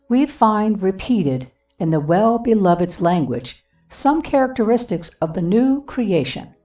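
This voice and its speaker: A woman reading a devotional text and scripture references aloud in a solemn, deliberate manner, pausing between short phrases. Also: lowish voice, warm tone. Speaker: reader of a devotional book. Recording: dull lo-fi, distant mic, music behind.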